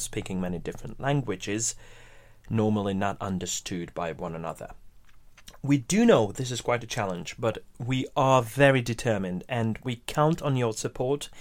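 A young man from Hungary, an English speaker, hosting a casual podcast chat, hesitant with many restarts. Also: lowish voice. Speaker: podcast host.

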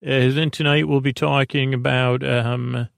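A man hosting a bedtime podcast in a meandering, halting, goofy monologue meant to lull listeners to sleep, with a gravelly voice. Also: medium pitch, level tone, no echo, studio quality. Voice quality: gravelly, creaky. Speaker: podcast host.

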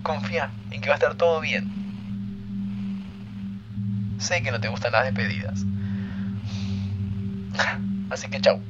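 An actor with an Argentine accent playing a young man whose gentle farewell message is played back. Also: medium pitch, measured pace, long pauses, lightly acted. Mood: tender. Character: young male friend in a recorded farewell message. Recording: muffled playback under loud music.

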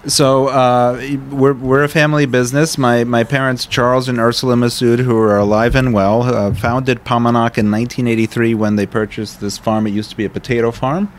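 A middle-aged man with a smooth radio voice, a winery owner telling his family's story in a measured way, with fillers. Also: mid-range voice, steady tone. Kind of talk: podcast interview. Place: vineyard tasting area.

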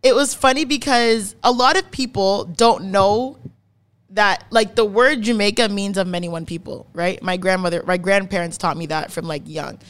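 A woman's voice: mid-range voice, moderate pace. A woman in her twenties from Canada, hosting a podcast in casual, opinionated talk, emphatic and swearing freely as she repeats words for stress. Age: 20s. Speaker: podcast host. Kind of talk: casual chat, opinionated explaining.

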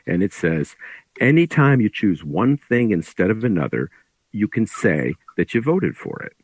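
Husky, low-voiced man chatting. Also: dry recording, rough recording. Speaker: author discussing his book. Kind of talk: conversational interview talk.

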